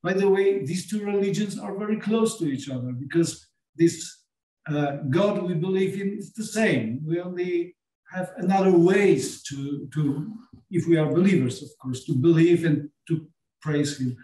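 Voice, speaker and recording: A middle-aged Polish man speaking English with a Polish accent, talking earnestly on a film panel and often pausing to search for words. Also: sits high, dark tone. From Poland. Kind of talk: panel conversation. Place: small meeting room with sofas. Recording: echoey room, voice far from mic.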